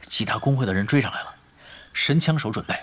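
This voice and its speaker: An actor playing a sly gamer mastermind in an audio drama, giving orders in a casual, teasing way. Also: male voice, medium pitch, expressive, fast, a bit bright, slight background noise, close to an everyday voice. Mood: commanding.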